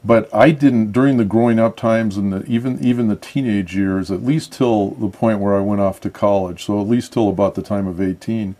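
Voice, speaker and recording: Low, mellow-voiced older man from Michigan. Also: fairly even intonation. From Michigan, USA. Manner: measured, reflective storytelling. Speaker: guest. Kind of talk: casual interview chat.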